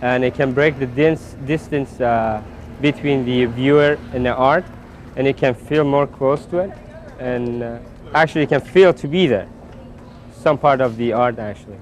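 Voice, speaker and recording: Halting man. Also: mid-range voice, a little hiss or hum. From Iran. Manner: halting, with fillers. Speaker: artist. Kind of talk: interview about his art.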